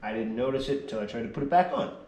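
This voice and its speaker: A man's voice: mid-range voice, quick pace, reverberant, a little hiss or hum. Man narrating his garage repair. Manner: relaxed, mutters quietly while working. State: losing his voice a little. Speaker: vlogger working on a small motor vehicle. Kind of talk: casual workshop narration.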